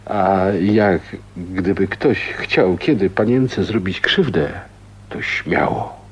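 A man's voice: low-pitched, expressive, slow pace, slightly rough, exaggerated voice. An actor in a radio play voicing a blunt, kind-hearted older working man with folksy, hearty speech. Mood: gruff gratitude. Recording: dull, noisy radio drama recording.

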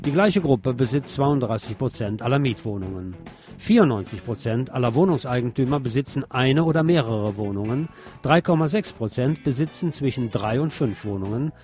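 A man's voice: medium pitch, animated, moderate pace, a little mellow, faint music behind. A man reading scripted radio news and commentary, adding opinionated asides of his own.

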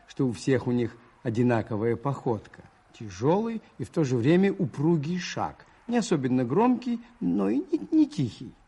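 Radio play priest detective: gravelly, dark-toned, thoughtful, questioning himself as he reasons. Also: fairly high pitch, heavily acted. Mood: reflective. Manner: musing, questioning himself aloud. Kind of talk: radio play narration and monologue.

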